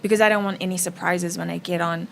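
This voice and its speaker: A woman's voice: fairly low pitch, steady tone, quick pace, gravelly. A woman panelist speaking conversationally in a casual discussion, slipping into imagined dialogue as she explains. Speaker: panelist, actress. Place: festival roundtable, live event. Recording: noisy room ambience.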